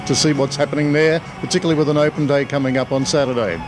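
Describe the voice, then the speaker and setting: A man's voice: fairly high pitch, steady tone, racing, music in the background. A man with an Australian accent reporting and interviewing on location as a television news reporter. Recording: noisy outdoor location, traffic ambience.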